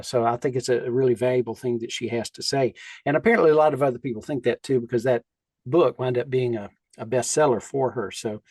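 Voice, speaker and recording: A man co-hosting a conversational podcast, explaining his thoughts in a measured, reflective way. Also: medium pitch, darkish tone, no echo. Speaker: co-host.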